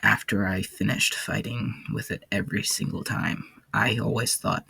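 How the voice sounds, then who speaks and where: A man playing a weary, haunted ancient warden in an audio log, speaking in a halting, somber way with a gravelly, husky low voice. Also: steady tone, sharp tone, dry recording, heavily acted. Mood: weary, grim. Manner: halting, somber, hesitant delivery. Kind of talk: character audio log, slow monologue.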